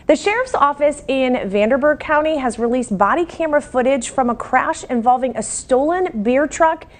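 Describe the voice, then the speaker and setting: High-pitched American woman anchoring live TV news. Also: moderate pace. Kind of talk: live TV news and weather reading.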